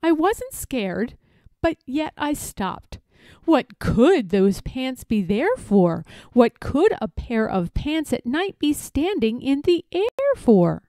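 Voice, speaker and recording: A woman's voice: high voice, big pitch swings, takes it slowly, warm tone, no room sound, pitched above the performer's own voice, heavily acted. A woman playing a timid storybook character who boasts of bravery but frightens easily, speaking with nervous, dramatic emphasis. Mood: nervous wonder.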